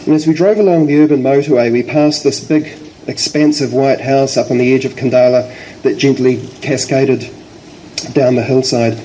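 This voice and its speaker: A man's voice: medium pitch, fairly even intonation, normal speed, mellow, a little hiss or hum, rough recording. A man with a New Zealand accent and a slight cold, giving a prepared formal introduction as the host of a public event.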